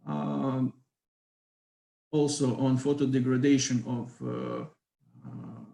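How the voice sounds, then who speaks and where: A man with a Ukrainian accent gives an online physics lecture, pausing often on fillers. His middle-pitched voice has a gravelly edge. The call picks up an echoey room around him. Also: fairly even intonation, warm tone. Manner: hesitant, many fillers. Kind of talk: online academic talk.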